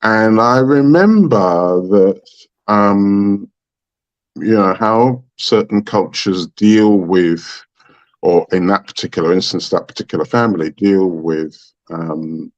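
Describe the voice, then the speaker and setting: A man from Trinidad, a guest on a talk show, telling a story in a thoughtful, halting, unhurried way. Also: low voice, highs cut off. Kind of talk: reflective storytelling in conversation.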